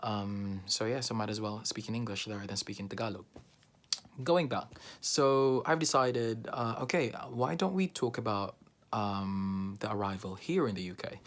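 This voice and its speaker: A man from the Philippines, whose first language is Bisaya, talking casually to camera in a hesitant way with many pauses. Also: lowish voice. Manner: hesitant, many fillers and restarts. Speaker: vlogger.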